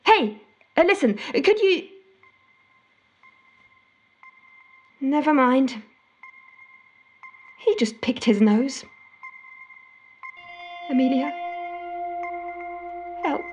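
A woman's voice: high voice, very animated, drawn-out words, pauses often, soft background music, heavily acted. A woman playing a frantic, exasperated woman telling her desperate story over the phone in an audio drama. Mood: pleading. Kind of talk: audio fiction monologue, told as a phone call.

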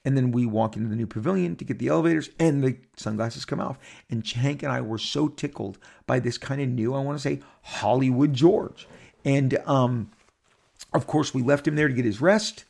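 Man, 50s, podcast host, casual storytelling, rambling, animated, gravelly voice. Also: medium pitch, moderate pace. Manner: animated, rambling, repeats and restarts, quotes others in character.

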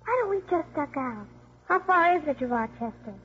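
Tough-talking little girl, high voice, slangy, muffled vintage radio drama. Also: expressive, moderate pace. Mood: plucky, anxious. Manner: slangy, plucky. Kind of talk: 1950s radio acting. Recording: muffled 1950s radio recording.

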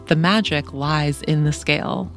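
A podcast host with a mid-range voice reads scripted narration in a clear, measured way. Faint music sits under the voice. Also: medium pitch, fairly even intonation, fairly bright tone. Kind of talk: scripted narration and interview questions.

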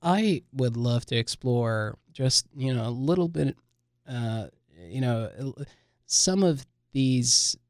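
Male interview host, gravelly tone, filler-heavy and eager, dry close studio recording. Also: medium pitch, expressive, slow, no room sound. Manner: warm, many "uh" and "um" fillers, eager follow-ups. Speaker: podcast host. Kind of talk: hosting an interview podcast.

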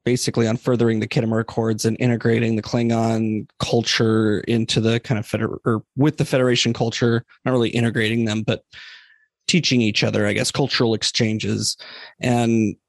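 A man's voice: lowish voice, little pitch movement, brisk, no room sound. A man speaking as a podcast guest in casual discussion, thinking aloud as he gives his opinions.

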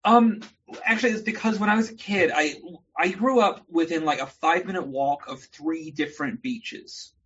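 A man's voice: on the high side, normal speed, a bit bright, breathy edge, dull audio. A man from New Zealand whose speech now sounds mostly American, chatting casually as a guest on a video call and telling wry, rambling stories with comic asides. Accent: mostly American, little of his New Zealand accent left. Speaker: guest creator.

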